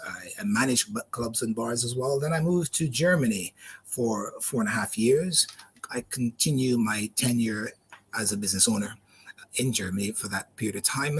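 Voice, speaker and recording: Husky-voiced man with a light Barbadian accent. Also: mid-range voice, poor audio. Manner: talkative, friendly, repeats words while thinking. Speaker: guest, platform founder. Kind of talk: interview answers. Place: studio.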